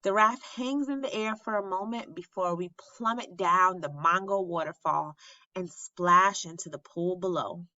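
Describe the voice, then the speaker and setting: A woman reading a children's picture book aloud like a teacher, with expressive, animated and instructive storytelling. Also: fairly low pitch, normal speed. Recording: roomy, slightly muffled sound.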